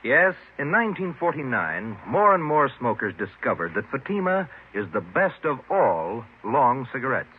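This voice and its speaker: Male American ad announcer, animated and emphatic, slightly rough tone, thin old radio sound. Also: mid-range voice, normal speed, few pauses. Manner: emphatic, salesmanlike. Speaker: commercial announcer. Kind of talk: 1950s radio advertising pitch. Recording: muffled narrow-band old radio recording.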